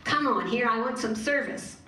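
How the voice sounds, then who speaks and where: A bossy young woman whining for food in a raised, pushy voice, as a woman storyteller plays her. She is loud and insistent. The recording is roomy and set back from the mic. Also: a little high, expressive, moderate pace, pitched above the performer's own voice. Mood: demanding. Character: demanding, impatient hungry young woman. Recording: roomy, distant mic, faint ambience.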